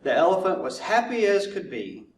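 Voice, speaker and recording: A man narrating a children's storybook in a deliberate way, drawing words out for effect. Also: high-pitched, big pitch swings. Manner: deliberate, drawn-out repetition for effect. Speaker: fire chief reading to children. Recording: roomy echo, distant mic, faint noise.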